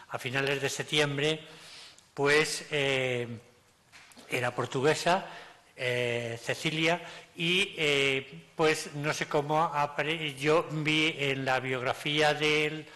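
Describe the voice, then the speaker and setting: A man introducing a guest speaker at an event, talking haltingly with many fillers. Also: a little high, pushed and bright. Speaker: event host. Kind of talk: formal introduction. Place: lecture hall. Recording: reverberant lecture hall.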